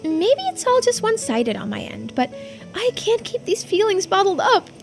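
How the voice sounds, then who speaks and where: A woman voicing an excitable teenage girl diver in a video game, blurting things out casually. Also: very high-pitched, normal speed, creaky, voice pushed up, full character voice. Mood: shy confiding. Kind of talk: video game character voice-over. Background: music.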